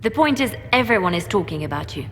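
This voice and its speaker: A woman's voice: mid-range voice, big pitch swings, fast, slight background noise, lightly acted. An actress playing a poised, diplomatic woman advisor in a fantasy game, polished and articulate. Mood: earnest. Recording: long hall reverb, faint background ambience.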